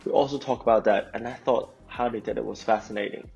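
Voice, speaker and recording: Guy doing the podcast intro, upbeat promo read, raspy, low-fi sound w/ faint music. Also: steady tone, fast talker, darkish tone. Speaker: podcast host. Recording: muffled with faint music behind.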